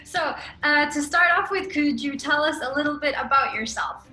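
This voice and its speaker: A young woman hosting a casual interview show, upbeat and quick with short encouraging replies. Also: high voice, bright, forceful tone. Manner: upbeat, brief encouraging backchannels. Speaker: host. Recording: echoey room with music.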